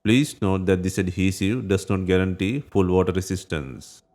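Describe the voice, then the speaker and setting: A man with a low-pitched voice delivers scripted tutorial narration. He gives short, plain instructions one step at a time, in the manner of a repair guide. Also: moderate pace, darkish tone.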